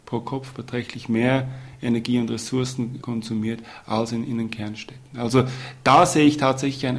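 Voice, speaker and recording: Gravelly man explaining. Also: medium pitch, darkish tone. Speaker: urban planning historian. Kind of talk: radio interview statement.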